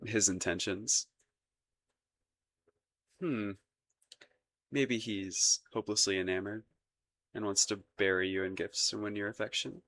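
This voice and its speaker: A man's voice: on the low side, steady tone, a little slow, long pauses, fairly bright tone. A man acting a shy, lovestruck young crown prince in a romantic roleplay audio, hesitant and stammering, with formal courtly phrasing. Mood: coyly defensive.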